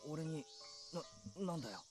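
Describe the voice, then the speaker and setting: Man acting fast-talking, animated, worried teenage boy. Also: on the high side, lightly acted. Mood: nervous, hesitant. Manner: hesitant, exclamatory. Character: startled, worried, evasive teenage schoolboy. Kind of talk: Japanese visual novel voice acting. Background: game music, insect sounds.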